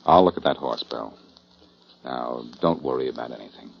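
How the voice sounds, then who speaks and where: Radio detective, low creaky voice, calm, self-assured, brisk, muffled vintage broadcast sound. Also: male voice, slight background noise, full character voice. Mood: reassuring. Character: calm, self-assured male private sleuth. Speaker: lead actor in a radio detective drama. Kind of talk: 1940s radio acting. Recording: dull, muffled 1940s radio recording.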